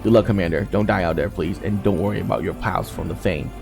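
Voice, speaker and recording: Blunt, talkative game soldier, read by a man, low and gravelly, music underneath. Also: fast talker. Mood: resolute. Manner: colloquial, blunt. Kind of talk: game dialogue read aloud.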